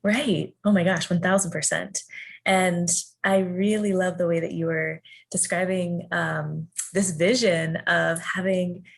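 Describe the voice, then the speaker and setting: Woman, interview host, low voice, breathy, affirming, enthusiastic. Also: steady tone, a little slow. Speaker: podcast host. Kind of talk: interview question.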